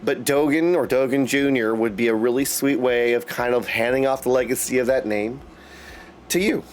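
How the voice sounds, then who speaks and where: A man's voice: mid-range voice, steady tone, normal speed, a little hiss or hum. A man hosting a casual livestream, chatting effusively with viewers, often exclaiming and calling them dudes. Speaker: live streamer and host.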